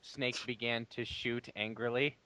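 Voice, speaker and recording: A man's voice: mid-range voice, fairly even intonation, measured pace, bright, forceful tone, clean tone, dry recording. A young man doing a theatrical read-aloud with dry asides, recorded on a lo-fi microphone. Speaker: player in a comedic dramatic reading game.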